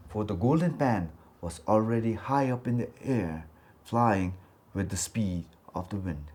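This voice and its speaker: A man from Bhutan telling a scripted folktale for children in a dramatic, expressive way. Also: lowish voice. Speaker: storyteller.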